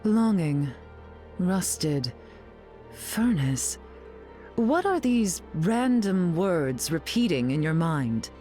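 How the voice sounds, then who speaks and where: An imperious game aristocrat with a drawling, dryly mocking delivery. Her lines are unhurried and swoop expressively, over background music. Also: lowish voice, big pitch swings, dark tone, exaggerated voice. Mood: cool, probing. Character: haughty, sardonic mutant queen, glamorous telepathic aristocrat. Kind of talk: video game character voice lines.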